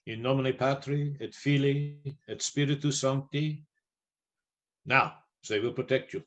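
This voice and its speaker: A man playing a learned, resolute vampire-hunting professor in a radio play, grave, measured and authoritative. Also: medium pitch, fairly bright tone, close to an everyday voice. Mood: commanding, solemn.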